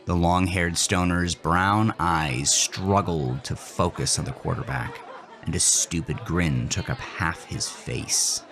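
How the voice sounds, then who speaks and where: A man with a low voice narrates scripted horror fiction. He takes it slowly and deliberately, with dramatic intensity, as the show's host. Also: a bit bright, some chatter behind.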